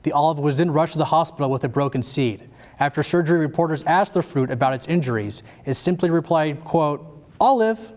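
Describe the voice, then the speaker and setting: A young American man anchors a student satirical newscast. His voice sits a little high for a man as he reads in a newscast style. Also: quick pace. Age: young adult.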